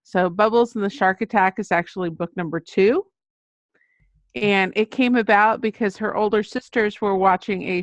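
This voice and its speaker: Female podcast guest, middle-aged, mid-pitched, casual anecdotal delivery, very dry recording. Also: no room sound. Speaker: children's book author, podcast guest. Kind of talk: casual interview chat, anecdotal storytelling.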